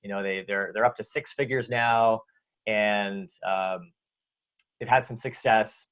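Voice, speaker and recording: Low-voiced Canadian man on a dull line. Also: measured pace, smooth. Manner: relaxed, lots of fillers and restarts. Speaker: guest. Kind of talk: casual interview answers. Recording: dull lo-fi audio.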